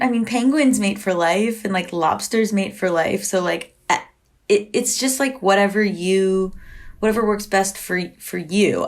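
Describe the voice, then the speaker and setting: A woman being interviewed, talking candidly and chattily, filling her speech with "like" and acting out quoted back-and-forths with frequent laughs. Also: mid-range voice. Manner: chatty, heavy use of "like", acts out quoted exchanges. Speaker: podcast guest.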